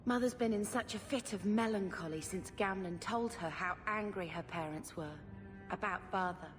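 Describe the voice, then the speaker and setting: Earnest young sister, actress voicing, fantasy game cutscene, reverb and music. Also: female voice, mid-range voice, moderate pace, natural voice.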